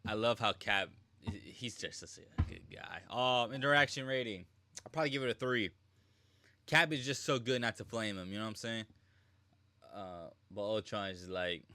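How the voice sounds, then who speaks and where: Low, bright-voiced male streamer. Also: no echo. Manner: slangy, rambling. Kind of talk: casual live reaction commentary.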